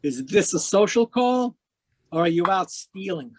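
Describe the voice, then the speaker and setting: A man playing a wisecracking hardboiled private eye, higher-voiced. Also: expressive, unhurried. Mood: sardonic, defiant. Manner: wry, terse, slangy delivery. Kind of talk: hardboiled 1940s radio detective narration.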